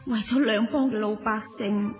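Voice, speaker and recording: An actress playing a sorrowful, selfless noblewoman in a radio drama, with a husky, sighing, halting delivery. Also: fairly high pitch, fairly even intonation, soft background music, natural voice. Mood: resolved. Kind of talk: Cantonese radio drama acting.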